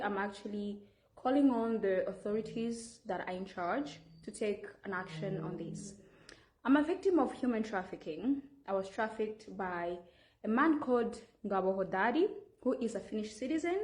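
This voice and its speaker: A woman's voice: a little high, reverberant. A woman from Rwanda with a Rwandan accent, making an earnest, insistent appeal straight to camera and often restarting her sentences. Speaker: vlogger making a public appeal.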